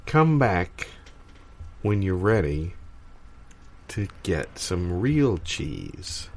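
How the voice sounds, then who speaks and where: A man's voice: low-pitched, very animated, very slow, full character voice. A man playing a skeptical, world-weary shop worker in an improvised comedy sketch, blunt and dismissive. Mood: blunt, advising.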